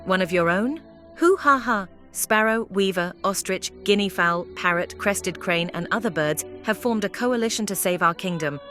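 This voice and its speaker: A woman's voice: medium pitch, expressive, normal speed, a bit bright, natural voice. A woman playing a defiant, taunting female bird in an audio play, speaking in a declamatory way. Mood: accusing, bitter. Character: defiant, mocking female bird, disillusioned former propagandist. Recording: music behind the voice.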